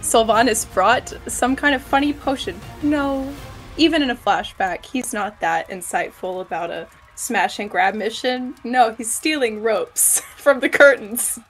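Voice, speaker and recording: Female game player, notably high voice, playful casual chat, faint music bed. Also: measured pace, fairly bright tone. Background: background music.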